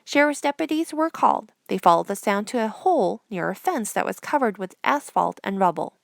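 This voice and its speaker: Woman reading news headlines, formal anchor style, pitch moves around a lot, really dry close sound. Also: expressive, fairly quick, gravelly, dry recording. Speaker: news presenter.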